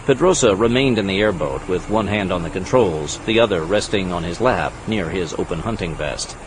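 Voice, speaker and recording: A man's voice: deep voice, normal speed, background noise. A man reading scripted, dramatic narration for an action thriller audiobook. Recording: loud vehicle and outdoor effects behind.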